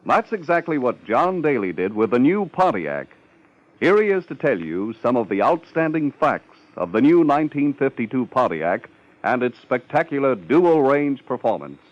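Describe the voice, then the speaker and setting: A male announcer reads formal 1950s radio copy with strong emphasis. His medium-pitched voice is highly animated. The old recording has a dull, limited sound. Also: normal speed.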